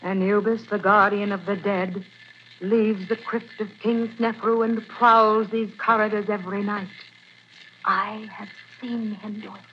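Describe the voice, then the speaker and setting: A woman's voice: medium pitch, level tone, a little slow, lightly acted. An actress playing a mysterious Egyptian woman librarian in a 1940s radio drama, speaking in a solemn, ominous way. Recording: muffled old radio broadcast, faint hiss.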